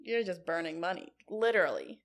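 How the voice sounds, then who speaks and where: A woman's voice: lowish voice, expressive, fairly quick. A woman co-hosting a podcast in casual chat, playfully teasing and riffing as she reacts and asks questions.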